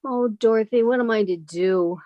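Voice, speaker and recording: A woman's voice: on the high side, moderate pace, no echo, highs cut off, close to an everyday voice. A woman playing a sheltered, timid, wistful woman of thirty-five in an old-time radio drama, plaintive and questioning. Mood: distressed.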